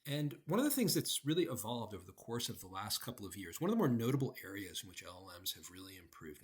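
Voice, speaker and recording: Man with lively, swinging intonation. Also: on the low side. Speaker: software demo presenter. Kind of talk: screen-recorded tutorial narration.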